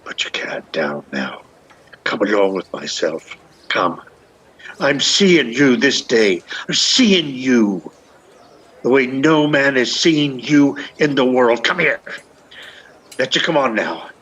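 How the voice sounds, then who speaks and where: A man's voice: medium pitch, expressive, slow, fairly bright tone, husky, full character voice. An actor playing a lovestruck, shabby old Irish beggar man in a radio play, speaking in long, poetic, pleading runs. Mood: urgent pleading. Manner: poetic, long rolling pleas. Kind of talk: lyrical stage radio-play acting.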